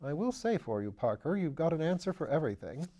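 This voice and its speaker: Brief: man voicing a raised, warm-toned posh amateur sleuth, brisk and chatty, storybook reading. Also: a little high, big pitch swings, voice pushed up. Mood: breezy. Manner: chatty, upper-class slang, brisk and eager. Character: breezy aristocratic English gentleman sleuth, man. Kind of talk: audiobook character voice.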